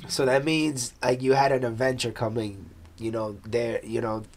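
A male host asks his questions in a halting way, restarting and filling the gaps with "like" and "you know". His pitch sits in the middle. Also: flat delivery, a little mellow, a little hiss or hum. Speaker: interview host. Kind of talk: interview questions.

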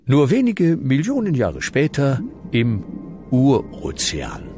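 A man's voice: medium pitch, wildly swooping pitch, slow, warm tone, faint music behind, full character voice. A man playing a mock-solemn storyteller, narrating a comedic radio play as scripted.

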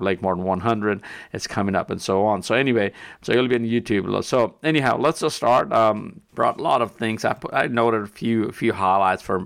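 Low gravelly man with a Nepali accent. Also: no room sound, studio quality. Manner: rambling, frequent restarts and fillers, soft chuckles. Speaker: podcast host and marathon pacer. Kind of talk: casual solo podcast monologue.

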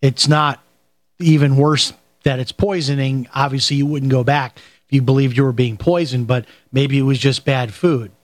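A man's voice: medium pitch, fairly even intonation, normal speed, no room sound. A man hosting a radio show, interviewing a guest and prompting him with questions. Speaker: radio host.

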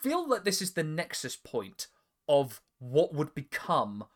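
A man's voice: on the high side, wildly swooping pitch, slow. A man with an English estuary accent, hosting a podcast in casual chat, talking loosely with frequent laughs and restarts. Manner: chatty, laughs often, stumbles and restarts. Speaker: podcast host.

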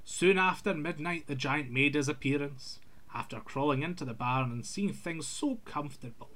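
A man's voice: on the high side, normal speed, a bit bright. A man reading a scripted folk tale aloud in an old-fashioned literary storytelling manner.

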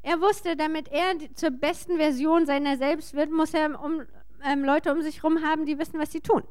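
A woman working as a sermon interpreter, speaking in short, informal and somewhat halting phrases. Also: high voice, steady tone, a little mellow.

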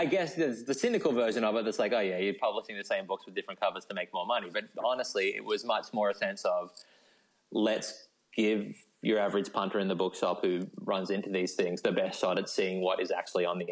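A man's voice: fairly low pitch, low-quality recording. An Australian man speaking as an interview guest, in a casual, discursive way peppered with "like" and "you know". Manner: informal, discursive, frequent 'like' and 'you know'.

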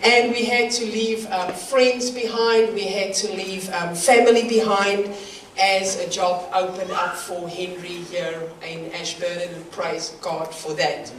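A woman's voice: medium pitch, steady tone, a little slow, slight background noise. A middle-aged woman from South Africa with a South African accent, giving a slightly nervous church sermon with light laughs between phrases. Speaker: guest preacher. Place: church hall. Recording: roomy church hall from far off.

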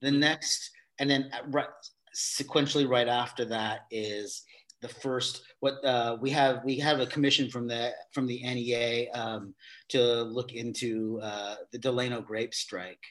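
A man's voice: medium pitch, fairly even intonation, a little slow, fairly bright tone. Rambling middle-aged man explaining his theater work. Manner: rambling, restarts often, laughs mid-sentence. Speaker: guest, theater company facilitator. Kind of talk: casual interview talk.

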